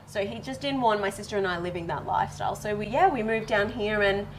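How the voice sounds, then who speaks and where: A woman's voice: mid-range voice, fast, slight background noise, low-quality recording. A woman in her twenties, raised in Israel, chatting as a guest and laughing often. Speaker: guest, reality show runner-up. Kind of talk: casual interview chat.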